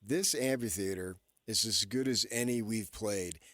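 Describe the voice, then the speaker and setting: A man's voice: on the low side, unhurried, fairly bright tone, dry recording. An American man co-hosting sports radio in casual conversation. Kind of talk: casual chat. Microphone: close studio microphone.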